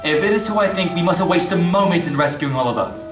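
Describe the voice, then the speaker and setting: A woman playing a kindly, well-to-do older gentleman in a staged radio play, formal and full of concern. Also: on the low side, lively intonation, fast, over music, close to an everyday voice. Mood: shocked, resolute. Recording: echoey stage recording from far off.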